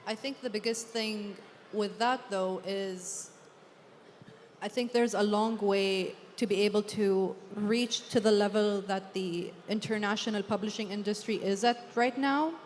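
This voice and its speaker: A woman's voice: mid-range voice, steady tone, measured pace. Woman, native Arabic speaker, earnest panel talk, echoing hall, faint hum. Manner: earnest, thinking aloud. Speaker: author on a book fair panel. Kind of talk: panel discussion. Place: large hall at a book fair. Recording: long hall reverb, faint background hum.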